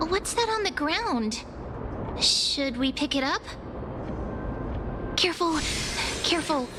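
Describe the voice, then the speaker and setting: A gentle, anxious little girl companion from a video game. Her voice is extremely high and swings widely in pitch. She speaks slowly and hesitantly, with clear ambient noise behind her. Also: expressive. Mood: curious, uneasy. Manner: wistful, hesitant, childlike.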